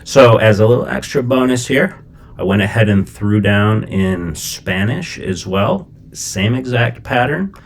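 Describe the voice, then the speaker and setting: A middle-aged man from Chicago explaining a language-learning method in a relaxed, conversational way, with plenty of fillers and check-ins. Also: lowish voice, measured pace. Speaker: language teacher. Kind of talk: casual explanatory lesson.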